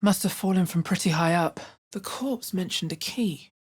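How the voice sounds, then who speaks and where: A low, husky fantasy heroine for a video game. She is wary and understated, with dry, measured delivery in short character lines. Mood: wary, observant. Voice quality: deep, husky.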